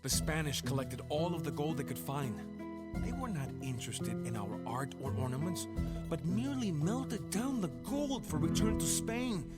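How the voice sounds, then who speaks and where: An actor playing a proud, brooding Aztec jaguar warrior who narrates history as solemn, theatrical storytelling. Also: male voice, a little high, big pitch swings, moderate pace. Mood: somber. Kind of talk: dramatic scripted historical narration. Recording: music loud behind the voice. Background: music.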